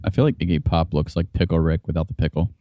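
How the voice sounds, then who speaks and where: A man on a casual podcast who trades deadpan, teasing quips. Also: low voice, fast talker, no echo, lo-fi sound. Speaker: podcast guest.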